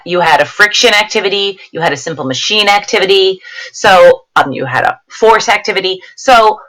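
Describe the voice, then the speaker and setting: Woman teacher reading aloud with lively, swooping intonation. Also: medium pitch, poor audio. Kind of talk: read-aloud science lesson with explanatory asides.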